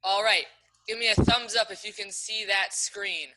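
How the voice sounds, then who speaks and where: Bright-voiced woman hosting a riddle game. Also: medium pitch, steady tone, moderate pace, low-quality recording. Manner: upbeat, friendly instructions. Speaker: game host for an online Hebrew school. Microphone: video call.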